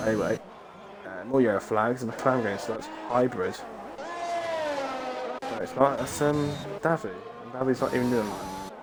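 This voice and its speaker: A man with a cough and a sore throat from a cold, giving rambling, chatty live commentary on an online racing league and often breaking off mid-thought. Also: mid-range voice. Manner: rambling, chatty, self-interrupting. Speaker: race commentator.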